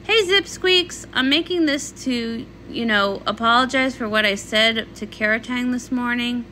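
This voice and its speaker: A woman's voice: fairly high pitch, unhurried, bright, forceful tone, slight background noise, rough recording. A woman voicing a young female pony pop star, dramatic, defensive and a little whiny, in a comic read-along. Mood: apologetic.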